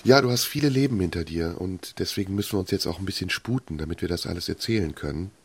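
A man interviewing a guest, asking measured, reflective questions. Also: deep voice, slightly rough.

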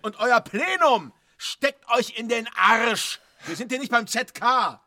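An actor playing an indignant, scornful workers' activist haranguing a meeting in a radio drama. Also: male voice, extremely high pitch, lively intonation, slow, pushed and bright, dry recording, studio quality, heavily acted. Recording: noisy meeting crowd behind.

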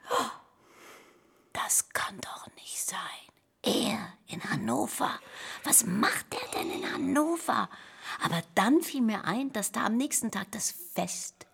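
Husky, creaky, gossipy woman. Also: a little high, very animated, unhurried, in a whisper, exaggerated voice. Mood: gossipy, awed. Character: gossipy, starstruck woman telling a celebrity anecdote. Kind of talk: naturalistic radio play dialogue.

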